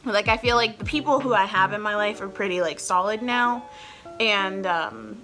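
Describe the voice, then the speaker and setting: A woman's voice: mid-range voice, moderate pace, sharp tone, soft background music. A woman in her twenties chatting casually to camera at home as a vlogger host, rambling and filling her talk with "like". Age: 20s. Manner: chatty, rambling, heavy use of "like" fillers.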